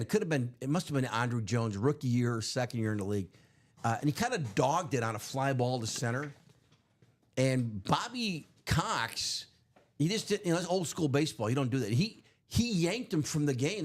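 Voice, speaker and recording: A man hosting a live card break stream, chatting loosely with many fillers, his voice going hoarse. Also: mid-range voice. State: losing his voice. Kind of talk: casual live stream chat.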